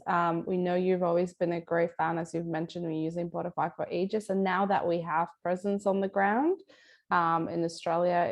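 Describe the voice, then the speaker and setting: A woman's voice: lowish voice, gravelly. A woman giving a friendly, upbeat webinar presentation as guest speaker over a video call. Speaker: guest speaker, client director. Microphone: webcam video call.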